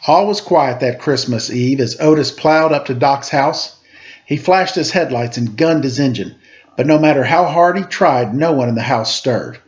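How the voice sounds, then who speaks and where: A man narrates a children's storybook with dramatic, expressive delivery. His voice sits at an ordinary male pitch. The recording is dull and lo-fi. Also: normal speed. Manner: dramatic read-aloud, acting out sound effects and dialogue. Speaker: storyteller reading a children's book aloud.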